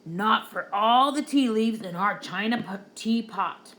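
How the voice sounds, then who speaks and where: Storybook cubs: three small wolves, pitched up high, loud and stubborn, voiced by a woman. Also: unhurried. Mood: defiant. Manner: high, loud chanting refusals.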